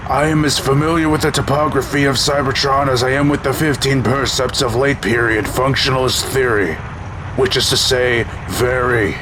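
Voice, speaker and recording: A man's voice: medium pitch, fairly even intonation, natural voice. Man acting a pedantic robot scholar, noisy backdrop. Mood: calm, lecturing. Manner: pedantic, measured, erudite. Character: learned, pedantic robot scholar, calm and self-assured. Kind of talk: audio drama acting.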